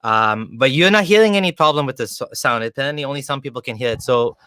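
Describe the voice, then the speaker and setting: A man's voice: medium pitch, quick pace, sharp tone, clean tone, no room sound. A man hosting a casual livestream interview, talking informally and often rephrasing himself as he goes. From Kenya. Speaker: interviewer, show host.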